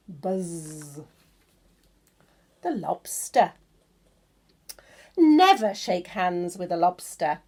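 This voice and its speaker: A woman's voice: medium pitch, a little mellow. A woman reading a children's picture book of verse aloud, stretching words for emphasis and pointing things out to young listeners.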